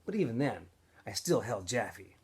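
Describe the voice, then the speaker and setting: A man plays a homeless young boy in a novel read-aloud, wistful and guarded. The delivery is earnest and halting, with lively swings in pitch and a husky edge. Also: exaggerated voice. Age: child.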